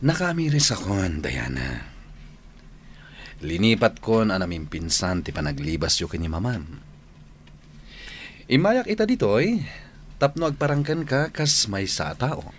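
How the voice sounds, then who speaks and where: A penitent suitor with a low voice that rises and falls expressively. He pleads earnestly in an Ilocano accent, in radio drama style, over audible background noise. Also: big pitch swings, a little slow, pauses often. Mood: remorseful, earnest. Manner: earnest, imploring. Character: repentant, pleading male suitor seeking forgiveness from wary woman.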